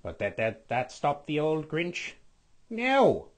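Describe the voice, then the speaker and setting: A man voicing a scheming skeletal villain lord who reads a storybook aloud with a raspy, sniffly voice, stretching out repeated words. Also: fairly high pitch, wildly swooping pitch, full character voice. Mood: gloating. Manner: sing-song storybook reading, drawn-out repeated words.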